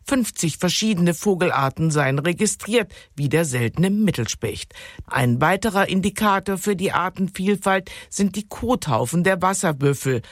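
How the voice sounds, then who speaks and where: A woman's voice: fairly low pitch, expressive, normal speed, no room sound, clean studio sound. A woman reading a scripted radio report in a factual news style.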